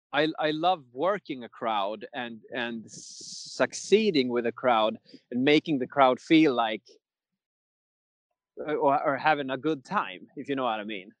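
A man's voice: mid-range voice, unhurried, pauses often, no room sound. Rambling man, dry sound. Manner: rambling, many restarts, laughs often. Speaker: metal singer, guest. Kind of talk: casual interview chat.

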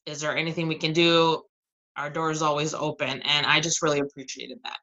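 A woman teacher from the American Southwest chats as a podcast guest. Her voice sits low yet comes across bright and forceful. She talks in a friendly, easygoing way and laughs often. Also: level tone, brisk, muffled recording. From Southern California and Arizona. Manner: friendly, chatty, laughs easily. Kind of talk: casual podcast interview.

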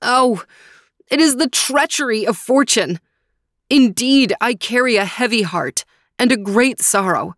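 Despairing robbed man, high and pleading, put on by a woman narrator. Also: animated, unhurried, fairly bright tone, no room sound, studio quality. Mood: sorrowful. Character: grieving young man, anguished and pleading.

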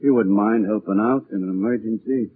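An actor playing a tough, callous grave robber who talks in blunt underworld slang, in 1940s radio style. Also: male voice, on the low side, brisk, lightly acted. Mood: callous, scheming. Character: tough, unscrupulous male grave robber gangster. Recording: muffled, tinny 1940s radio recording.

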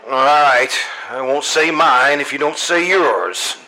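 A pompous, fussy middle-aged principal acted by a man, formal in every phrase. The voice is forceful and a little high, in radio-sitcom style with faint noise. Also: slow pace, rough recording. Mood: grumpy. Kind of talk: old-time radio comedy acting.

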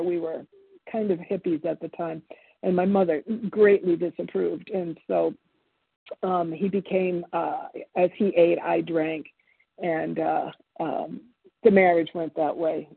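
A woman in her seventies whose first language is Italian, sharing her story at a recovery meeting in a halting, reflective way with many pauses and restarts. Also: lowish voice, fairly even intonation, creaky, slightly rough, no echo. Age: 70s. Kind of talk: personal testimony at a recovery meeting. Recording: phone-line audio.

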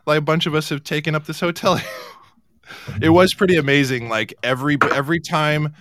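A man hosting a talk show, chatting casually and telling anecdotes in an animated way. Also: fairly high pitch, fairly quick, noisy background.

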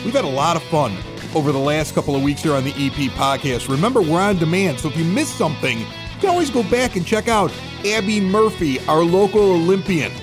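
A man's voice: a little high, animated, a little fast, music in the background. A man hosting a local podcast in a chatty, conversational style. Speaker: podcast host. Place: home basement bar studio.

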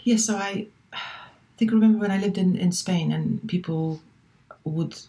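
A woman's voice: lowish voice, unhurried, dark tone, low-quality recording. A woman guest speaking English as a second language, chatting thoughtfully with many fillers and restarts. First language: not English. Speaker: guest, relocation coach. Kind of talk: casual interview chat.

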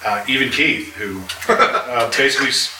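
A man speaking haltingly and giving compliments during a casual live panel discussion. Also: medium pitch, sharp tone. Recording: echoey, distant, noisy live room.

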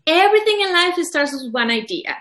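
Echoey, high-voiced woman. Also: big pitch swings, fairly quick, fairly bright tone. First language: Spanish. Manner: hesitant, many restarts and fillers, earnest. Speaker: guest, publisher and author. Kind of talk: casual interview answers. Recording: echoey room.

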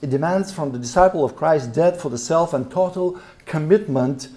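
A middle-aged Bulgarian man with a Bulgarian accent presents a seminar to a room of listeners. His voice is mellow and dark. He talks haltingly, leaning on "uh" and "um" and restarting his words as he goes. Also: fairly high pitch. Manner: hesitant, many fillers and repeated words. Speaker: missionary and church planter speaking at a seminar.

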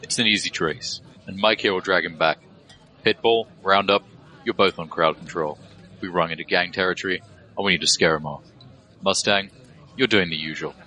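A man's voice: deep voice, normal speed, bright, forceful tone. A man playing a businesslike, wary dwarf mercenary leader who gives terse, matter-of-fact orders in an audio drama.

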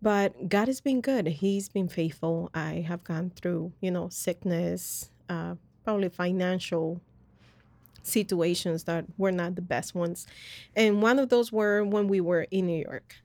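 A woman's voice: fairly low pitch, a little slow, darkish tone, no echo. Earnest woman sharing her story. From Colombia. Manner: earnest, rambling, many fillers and restarts. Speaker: podcast host. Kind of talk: personal faith testimony in casual chat.

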